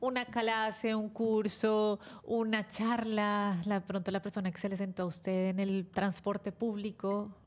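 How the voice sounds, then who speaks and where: A woman at medium pitch hosts an interview. She asks her guest questions and muses in a thoughtful, conversational way. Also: flat delivery, unhurried, few pauses. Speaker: host.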